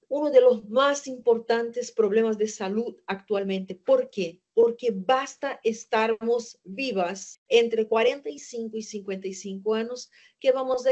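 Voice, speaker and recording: A woman's voice: a little high, darkish tone. Brazilian woman doctor, non-native speaker, earnest emphatic lecture, slightly muffled sound. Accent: Brazilian Portuguese. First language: Portuguese. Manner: earnest, emphatic, asks and answers own questions. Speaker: gynecologist lecturer. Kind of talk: webinar lecture.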